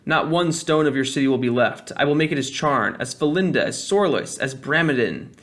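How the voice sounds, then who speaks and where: A man's voice: mid-range voice, lively intonation, brisk, fairly bright tone, lightly acted. A man voicing a powerful, menacing witch empress in a storybook, with haughty, grand declamation. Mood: triumphant threat.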